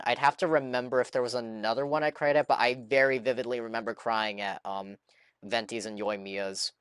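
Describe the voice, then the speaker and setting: A man reacting casually on a game livestream, speaking earnestly and a little haltingly as he gathers his thoughts. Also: mid-range voice, fairly bright tone, smooth, no echo, studio quality. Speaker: game streamer.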